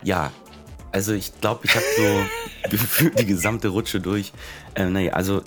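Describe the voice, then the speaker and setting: Low-voiced, halting man, slightly hoarse. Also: fairly even intonation, over music. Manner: halting, many fillers and restarts. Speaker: podcast co-host. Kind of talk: casual chat.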